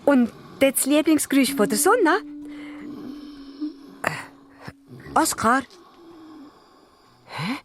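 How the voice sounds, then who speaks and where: A woman playing a small, lively male dwarf who muses aloud and asks questions, with a Swiss German accent, in a children's radio play. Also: high voice, slow, long pauses, a little hiss or hum, exaggerated voice. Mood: wondering, dreamy. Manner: musing aloud, asking questions.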